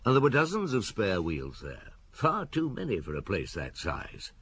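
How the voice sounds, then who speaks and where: An actor in an audio drama playing a shrewd, unhurried police chief with a husky voice and a terse, probing manner. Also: male voice, fairly low pitch, wildly swooping pitch, fairly bright tone, a little hiss or hum. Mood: wry, recounting.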